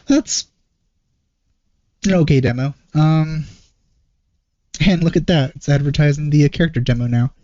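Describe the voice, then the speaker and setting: A man giving casual reaction commentary as a video host, in a hesitant, low-key manner. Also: normal speed, pauses often, mellow, lo-fi sound. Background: game trailer music.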